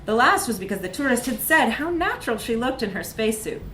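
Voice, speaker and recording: A woman's voice: on the high side, very animated, fast talker, bright, forceful tone, echoey room, a little hiss or hum. A woman reads a comic short story aloud at a live event, with wry, emphatic narration. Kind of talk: animated literary narration, live reading.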